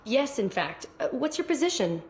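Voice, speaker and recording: An actress playing a gracious, formal shop merchant in a video game. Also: female voice, sits high, big pitch swings, moderate pace, a little mellow. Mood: welcoming. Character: courteous, formal shop merchant on space station. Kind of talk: video game character acting.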